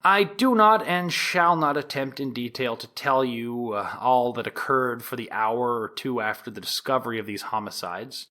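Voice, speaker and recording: An actor playing a solemn, insistent 1890s prosecuting attorney, delivering a formal, deliberate opening statement to a jury in an audio drama. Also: male voice, medium pitch, sharp tone, close to an everyday voice. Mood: measured, grave. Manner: formal, deliberate oratory with emphatic rises. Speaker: actor in an audio theatre production.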